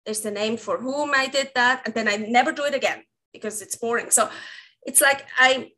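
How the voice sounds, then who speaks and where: A woman in her thirties with a Swiss accent and a high, bright voice. She talks in a rambling way, circling back and correcting herself mid-sentence, with frequent laughs. Her English is fluent but shaped by her Swiss background. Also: moderate pace. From Switzerland. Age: 30s. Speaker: guest, recipe developer. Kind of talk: casual interview conversation.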